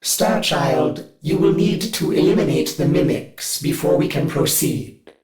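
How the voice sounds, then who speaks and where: An actor playing a cold, formal upgraded male ship AI in a video game, measured and controlled. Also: on the high side, steady tone, gravelly, echoey room, a loud crowd. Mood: cautioning. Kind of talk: video game companion dialogue.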